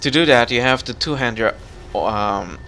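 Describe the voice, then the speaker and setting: A man's voice: mid-range voice, expressive, moderate pace, sharp tone, slight background noise. A man chatting casually while playing a game, coaching his co-op partner step by step. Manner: instructive, coaching. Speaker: gamer guiding a co-op partner. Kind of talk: casual gameplay chat.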